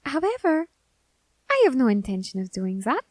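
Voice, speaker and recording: A woman voicing a curious, androgynous grim reaper in an ASMR roleplay who questions a captive demon in a slow, teasing, softly whispered way. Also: high-pitched, big pitch swings, no echo.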